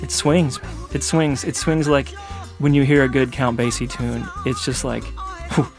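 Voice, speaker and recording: Casual bandleader from Missouri, flat-toned. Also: male voice, mid-range voice. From Missouri, United States. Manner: casual, halting, many uhs. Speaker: bandleader and guitarist. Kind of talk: interview answers. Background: band music under the voice.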